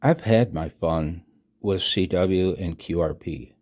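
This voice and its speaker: A man in his sixties giving a personal voice-over announcement, halting and reflective, with a husky low voice. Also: darkish tone. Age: 60s. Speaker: amateur radio hobbyist and video narrator. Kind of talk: personal voice-over announcement, partly read from own text.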